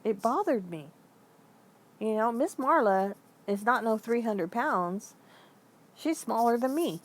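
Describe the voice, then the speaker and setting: A woman's voice: fairly high pitch, expressive, slow pace. Woman venting in casual, emphatic talk. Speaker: online video commentator. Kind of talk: casual opinion vlog, venting.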